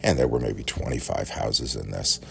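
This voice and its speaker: A middle-aged American man telling casual, rambling childhood-style reminiscences in a halting, conversational way. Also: very deep voice, creaky, fairly bright tone. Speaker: podcast storyteller. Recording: faint background noise.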